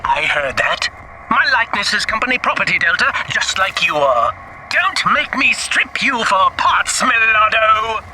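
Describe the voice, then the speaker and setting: A man's voice: sits high, very animated, unhurried, far from the mic, background noise. An actor playing a deranged, pompous corporate boss in a video game, theatrical and taunting like a showman. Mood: menacing. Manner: pompous, theatrical, swooping between murmur and shriek. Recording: roomy, echoing sound under loud music.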